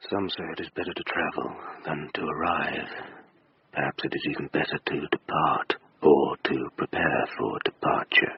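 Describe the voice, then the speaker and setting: A man playing a cold, impassive, sinister watcher in a radio drama, measured and ominous, with a gravelly, breathy voice. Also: very deep voice, fairly even intonation, no room sound, exaggerated voice. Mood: cold, brooding. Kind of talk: poetic radio drama monologue. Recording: dry, muffled radio recording.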